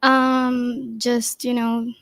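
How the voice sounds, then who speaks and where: Young woman in her 20s, higher pitch, hesitant speaker. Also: level tone, drawn-out words, a bit bright, no echo. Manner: hesitant, many ums. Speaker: panel guest. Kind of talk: casual panel chat.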